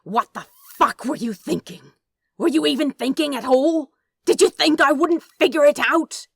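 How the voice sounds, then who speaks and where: A woman's voice: sits high, moderate pace, creaky, no echo, studio quality, pitched above the performer's own voice. A woman voicing a furious, wounded male demon who growls, hisses and swears in an audiobook story.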